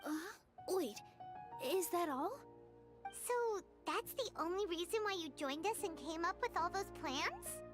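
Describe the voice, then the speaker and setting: A tiny fairy sidekick from a game, extremely high and squeaky like a small child. The delivery is bubbly, earnest and expressive, with music playing behind. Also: female voice, measured pace. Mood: surprised, doubting. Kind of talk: video game character dialogue.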